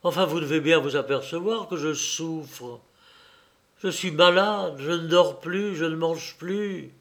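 A man's voice: fairly high pitch, measured pace. Man playing an anguished, pleading young son. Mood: desperate pleading. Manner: pleading, agitated, dramatic. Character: anguished, fearful, sleepless young man pleading with his mother.

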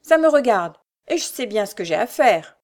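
Storybook villain: female reader as a coarse old shrew, sly and brusque, pitched up and forceful in volume. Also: sing-song, a little slow, heavily acted. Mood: brusque, irritated. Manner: brusque, colloquial, exclamatory. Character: scheming old beggar woman, coarse, falsely sweet shrew.

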